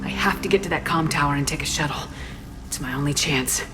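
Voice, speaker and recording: A woman's voice: deep voice, a little fast, over music, close to an everyday voice. An actress playing a resolute woman space commander who speaks in firm, determined, clipped lines. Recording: game audio with loud orchestral score.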